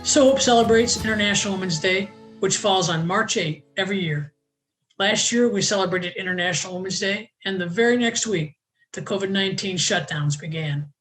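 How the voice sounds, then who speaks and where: A woman hosts an online panel and reads her welcome from a prepared script. Her delivery is measured and even, and her voice sits in a middle register. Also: mid-range voice, soft background music, poor audio. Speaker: host.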